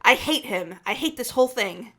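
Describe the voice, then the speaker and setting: A woman's voice: a little high, lively intonation, moderate pace, sharp tone. A woman voicing a stubborn, angry, grieving and loyal young woman in a fan-voiced visual novel game. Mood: angry, defiant.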